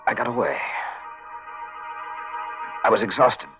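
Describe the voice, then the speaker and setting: An actor playing a haunted fugitive who narrates his crimes in a halting, intense 1940s radio suspense style. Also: mid-range voice, expressive. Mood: haunted, grim. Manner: dramatic, halting, intense delivery. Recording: dull old 1940s radio recording, organ music.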